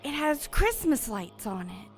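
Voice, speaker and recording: A high-pitched, frightened little orphan girl. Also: sing-song, faint music behind. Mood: earnest. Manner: childlike, earnest, halting. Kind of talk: audio drama acting.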